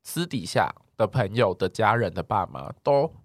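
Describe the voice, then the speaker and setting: Animated Taiwanese man, close dry sound. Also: on the low side, normal speed, no room sound. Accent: Taiwanese Mandarin. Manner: talkative, expressive, mixes in English words. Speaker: podcast co-host. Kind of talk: casual chat podcast, reading listener replies.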